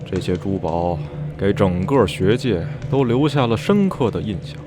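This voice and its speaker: An actor playing a reflective man who recounts a journey from his youth in measured, literary radio drama narration. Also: lowish voice, expressive, lightly acted. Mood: intrigued. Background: music.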